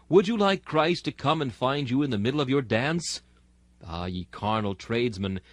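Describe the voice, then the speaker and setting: A man's voice: mid-range voice, dry recording. A man reading an old-fashioned sermon aloud, solemn and exhortatory, with rhetorical delivery. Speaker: sermon reader. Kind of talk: dramatic sermon reading in archaic Victorian English.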